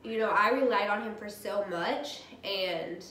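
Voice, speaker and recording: A woman in her thirties with a stuffy cold, talking a little loud and candidly to camera in her dining room. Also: medium pitch, measured pace, reverberant, a little hiss or hum. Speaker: vlogger. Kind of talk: personal vlog talk. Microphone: camera across the room.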